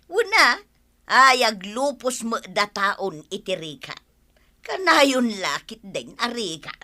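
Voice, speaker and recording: A woman playing an animated, teasing village farm woman in a radio comedy, with a Filipino accent and exclamatory, drawn-out delivery. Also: on the high side, dry recording, heavily acted. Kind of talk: Ilocano radio comedy drama acting.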